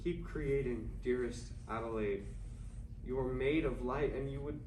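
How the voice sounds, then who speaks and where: A man's voice: mid-range voice, unhurried, a little mellow, lightly acted. A man playing an earnest, self-doubting, stubborn 1920s writer and teacher in a staged play reading. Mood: tender. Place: room at an acting academy, recorded from afar. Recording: echoey distant room recording, faint noise.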